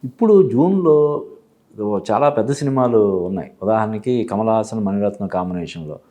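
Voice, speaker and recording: A man giving explanatory commentary as an interview guest, halting and repeating phrases as he goes. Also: fairly low pitch, animated, dark tone. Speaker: film industry analyst, studio guest. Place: TV studio.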